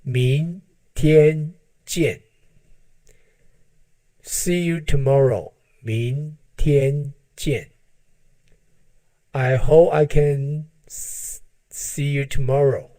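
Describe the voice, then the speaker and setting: Slow, deliberate man teaching phrases. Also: medium pitch, dark tone, no echo. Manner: slow, deliberate, drawn-out syllables. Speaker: language teacher. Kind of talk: slow language lesson with repetition.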